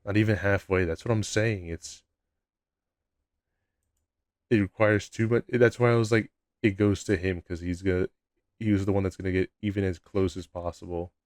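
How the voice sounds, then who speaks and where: A young man with a low voice, chatting casually with his live-stream chat in a laid-back, rambling way at a desk mic at home. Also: steady tone, normal speed, long pauses, a little mellow, no room sound, clean studio sound.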